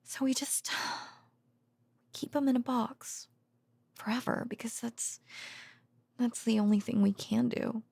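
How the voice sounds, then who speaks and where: A woman playing a tender, worn-out researcher girlfriend in an intimate bedtime audio roleplay, speaking haltingly and drifting into whispers. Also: on the high side, fairly even intonation, gravelly, dark tone, breathy edge, heavily acted. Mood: sad, pitying.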